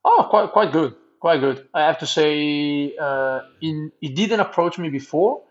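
Italian pro cyclist guest, 30s, accented English with a Flemish edge, halting, on a muffled lo-fi line. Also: male voice, fairly high pitch, expressive. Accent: Italian, with a Flemish tinge. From Italy. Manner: halting, restarts words, searches for English phrases. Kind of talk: casual interview answers.

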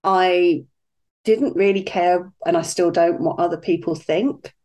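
A woman's voice: fairly low pitch, a little slow, mellow. A British woman talking casually as a podcast guest, chatty and easygoing. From United Kingdom. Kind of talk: casual interview chat.

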